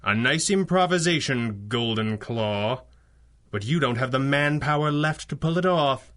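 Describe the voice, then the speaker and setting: A man's voice: mid-range voice, unhurried, a bit bright, lightly acted. A man playing a suave, confident masked crimefighter in a pulp radio drama, wry, dry and theatrical. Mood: accusing.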